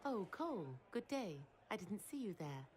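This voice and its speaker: An actress playing a gracious, gentle woman minstrel in a video game. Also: medium pitch, very animated, normal speed, background noise, lightly acted. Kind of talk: video game cutscene dialogue.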